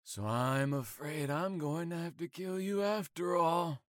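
A man's voice: on the high side, measured pace, no echo, clean studio sound, close to an everyday voice. A man playing a menacing, lovestruck serial killer in an audio drama, mocking and prone to dropping into whispers. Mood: cold menace.

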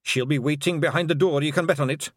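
A man's voice: a little high, fast, no room sound, studio quality, pitched above the performer's own voice, close to an everyday voice. Man doing a blustering Scottish pixie chief. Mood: boastful. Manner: blustering, emphatic. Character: small fierce boastful Scottish Pictsie warrior chief.

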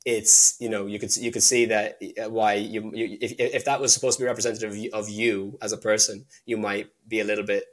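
A young man talking casually as an interview guest, halting with frequent restarts and fillers. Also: fairly low pitch, level tone. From Ireland. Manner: hesitant, many restarts and fillers.

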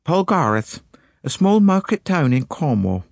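A man's voice: fairly high pitch, very animated, unhurried, creaky, no echo, clean studio sound, pitched above the performer's own voice, full character voice. A man reading an audiobook, voicing an anxious, indignant middle-aged woman who talks in a prim, complaining way. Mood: fretful. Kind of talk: audiobook character voice.

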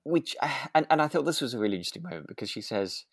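A podcast co-host, talking thoughtfully and tentatively in relaxed discussion, with hesitant, circling phrasing. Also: mid-range voice, fast talker, dry recording, studio quality. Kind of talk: relaxed discussion of a radio drama.

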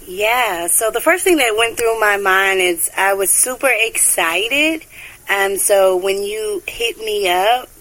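A woman's voice: mid-range voice, slow pace, a bit bright, low-quality recording. Woman guest, mid-range voice, easygoing and chatty, casual filler words. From United States. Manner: friendly, talks with fillers like "um" and "like". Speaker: podcast guest, mentor. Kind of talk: casual interview chat.

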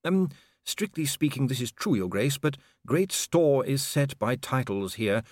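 A man's voice: medium pitch, sing-song, measured pace, darkish tone, dry recording, clean studio sound, pitched above the performer's own voice. A man voicing a fussy, deferential male clerk who is hesitant, pedantic and very formal, in a storybook reading. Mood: deferential, careful.

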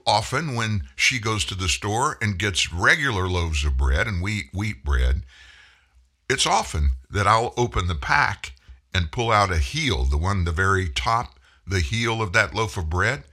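A man's voice: deep voice, sharp tone, slightly rough. A middle-aged man from Houston hosting a radio talk show from a studio, chatting in a folksy storytelling way. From Houston, Texas. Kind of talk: casual monologue. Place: broadcast studio. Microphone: studio microphone.

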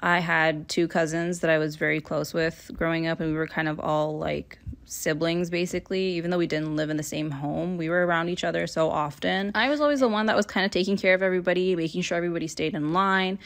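A young woman from Canada in her twenties hosts her own podcast. She talks in a friendly, earnest way in a slightly low voice, with fillers and small laughs here and there. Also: fairly even intonation, fast, hardly any pauses, a bit bright. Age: 20s. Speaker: podcast host. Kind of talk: casual solo podcast talk.

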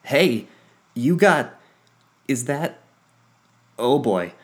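A man voicing a scrappy young woman tinkerer who is excitable and sarcastic. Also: deep voice, moderate pace, lightly acted. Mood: flustered.

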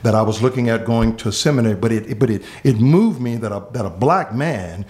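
A man who is a pastor from Miami, earnestly telling his life story in conversation, pausing often on fillers and repeated words. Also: medium pitch, expressive, darkish tone. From Miami, Florida. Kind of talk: reflective personal storytelling in conversation.

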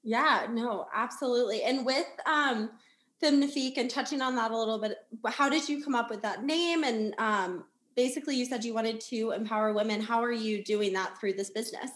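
High, upbeat young woman co-host. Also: a little fast, fairly bright tone. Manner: upbeat, enthusiastic. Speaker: podcast co-host. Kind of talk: casual interview chat.

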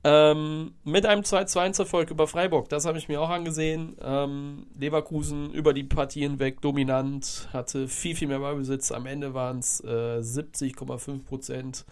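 A man's voice: a little high, level tone, normal speed, few pauses, clear, resonant tone. A man hosting a casual solo podcast, sounding stuffed up from a cold as he rambles through a football recap and corrects himself often. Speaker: podcast host.

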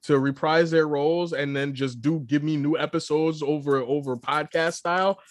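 A man's voice: a little high, fairly even intonation, normal speed, dry recording, studio quality. A man co-hosting a casual pop culture chat, emphatic and repeating phrases to press his point. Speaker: podcast co-host.

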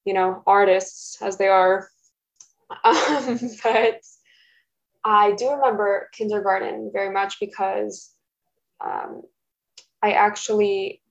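A woman's voice: medium pitch, steady tone, takes it slowly, gravelly, pauses often. A young woman, Russian her first language, answering interview questions in a hesitant, thoughtful way with many fillers, at home. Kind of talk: casual interview. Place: home, roommate nearby. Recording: dull lo-fi recording.

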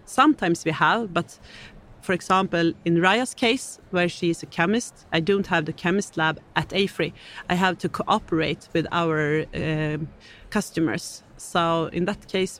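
A woman's voice: on the low side, fairly bright tone. A woman, a section manager, answering interview questions in a matter-of-fact, businesslike way. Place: live event stage.

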